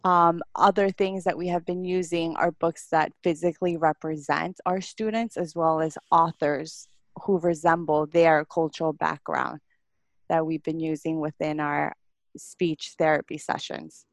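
A woman born in Ecuador speaks as a guest on a podcast, explaining her work in a measured way. Her voice is somewhat low, and the recording is dry and close. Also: steady tone, no echo. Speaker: speech-language pathologist guest. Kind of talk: casual interview answers.

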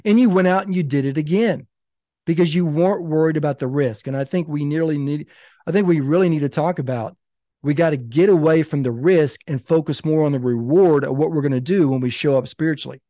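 Animated man in his fifties. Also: on the high side, a little fast, dry recording, studio quality. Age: 50s. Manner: animated, emphatic storytelling. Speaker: podcast guest, author. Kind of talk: casual podcast conversation.

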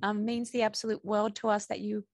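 A woman co-hosting a podcast with a soft voice, chatting casually with a guest. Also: mid-range voice, little pitch movement, brisk, vocal fry, no echo, studio quality. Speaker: podcast co-host. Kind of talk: casual interview chat.

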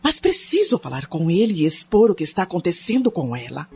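Uma atriz brasileira de radionovela interpretando uma mãe preocupada e angustiada, que suplica entre suspiros. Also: female voice, lowish voice, sing-song, brisk, over music. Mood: anguished. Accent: Brazilian. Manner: anguished, sighing. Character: worried, distressed mother, anxiously pleading. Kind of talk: radio soap acting. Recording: dull, lo-fi radio drama recording.

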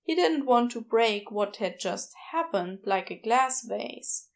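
A woman's voice: fairly high pitch, expressive, takes it slowly. A woman reading scripted fiction as an audiobook narrator.

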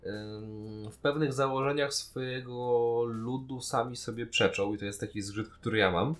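A man's voice: medium pitch, fairly even intonation, measured pace. A man co-hosting a podcast, explaining his views reflectively in casual conversation. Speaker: podcast co-host. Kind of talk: casual review chat.

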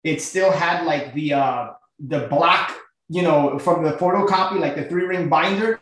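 A middle-aged man from Miami talks as a podcast guest. His voice is fairly high, and he tells his story earnestly and informally. The recording is heavily echoey and roomy. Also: unhurried, a loud crowd. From Miami, United States. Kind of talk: casual personal storytelling.

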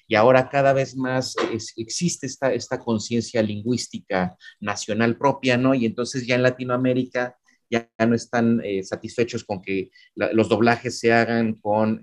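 A middle-aged man, a professor talking things through in a relaxed discussion, with frequent restarts and fillers. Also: fairly low pitch. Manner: halting, many repeated words and fillers. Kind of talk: conversational academic discussion.

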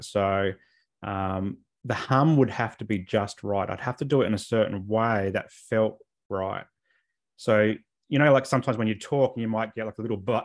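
A man speaking as an interview guest, telling his personal story in a candid, reflective and informal way. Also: on the low side. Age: adult, over 28.

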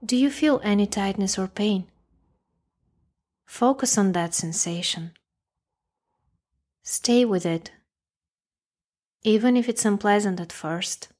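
A woman leads a guided meditation in a mid-pitched voice. Her delivery is calm and measured, leaving long pauses between short, simple instructions.

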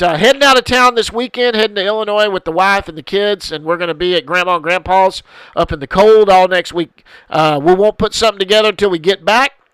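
A man's voice: high-pitched, a bit bright, clear, resonant tone, no room sound, rough recording. A middle-aged man hosting a podcast, answering listener questions in a rambling, repetitive casual chat, with a stuffed-up head-cold voice. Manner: rambling, repeats himself, frequent restarts. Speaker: podcast host.

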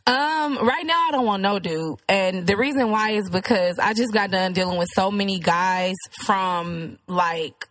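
A woman's voice: mid-range voice, unhurried, few pauses, a bit bright, no echo. A woman chatting casually as a guest, outspoken and slangy, trading banter. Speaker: guest, singer and rapper.

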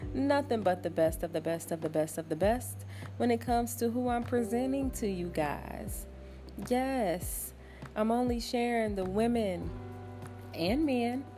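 A woman in her thirties talks slowly and easily on her own podcast, in a soft, smooth voice. Her tone is mellow and a little low, and she fills the pauses with ums and small laughs. Faint music plays behind her. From Cincinnati, Ohio. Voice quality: soft smooth late-night voice. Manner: relaxed, chatty, with frequent fillers and soft laughs. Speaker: podcast host. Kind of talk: casual solo podcast talk. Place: at home at night.

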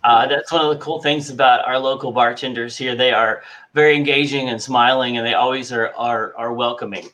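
A man hosting a podcast, asking slow, hesitant questions with fillers. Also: medium pitch, fairly even intonation, sharp tone, rough recording. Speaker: podcast host. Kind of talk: interview host.

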